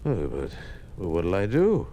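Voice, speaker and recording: A low-pitched, widely inflected smooth, two-faced older official. He hems and soothes like a kindly uncle while scheming, in an old radio play. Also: wildly swooping pitch, unhurried, mellow, full character voice. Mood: flustered, yielding. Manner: avuncular, evasive, hesitant hemming. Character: sly, smooth-talking older lieutenant governor, two-faced party official. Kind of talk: 1950s radio drama acting. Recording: noisy old 1950s radio recording.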